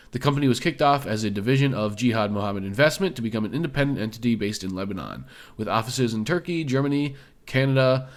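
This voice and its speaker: A man hosting an investing video, his voice a little hoarse from a cold. He talks in a loose, easygoing way, leaning on fillers as he walks through the details. His pitch sits in an ordinary middle range for a man. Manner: loose, filler-heavy, conversational. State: slightly hoarse from illness. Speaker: stock video host. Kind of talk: casual screen-share commentary.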